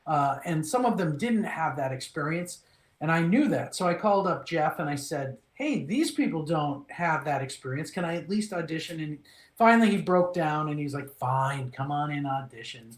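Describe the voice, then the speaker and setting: A middle-aged man from Minnesota recounts his acting career in a relaxed, storytelling way. He restarts his phrases often and gets animated when acting out what others said. The room around him is echoey and bounces his voice back. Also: a little high, normal speed. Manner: animated, restarts phrases, acts out quoted lines. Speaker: voice actor, interview guest.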